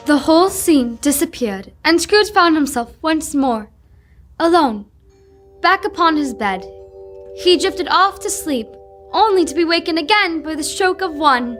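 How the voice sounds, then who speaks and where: A woman's voice: high-pitched, expressive, measured pace, a bit bright, soft background music. A young woman narrating a scripted radio drama. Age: teens.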